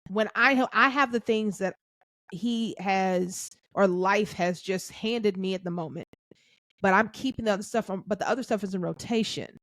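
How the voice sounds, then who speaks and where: A woman's voice: medium pitch, moderate pace, a bit bright, no echo. A woman hosting a podcast, talking reflectively about faith, thinking aloud and affirming her guest. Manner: thinks aloud with restarts, affirming "that's right", "amen". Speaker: podcast host. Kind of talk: reflective faith-centered casual chat.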